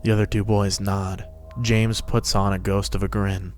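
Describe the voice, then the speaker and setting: A man's voice: on the low side, flat delivery, normal speed, faint music behind. A man narrating a fan-fiction story as a scripted dramatic reading.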